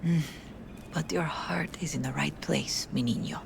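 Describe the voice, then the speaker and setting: Game role: bereaved Mexican mama, middle-aged, warm and dignified, fry in the voice, noisy ambience. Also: female voice, deep voice, moderate pace. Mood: tender, subdued. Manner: gentle, maternal, slips into Spanish endearments. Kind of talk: cinematic video game acting.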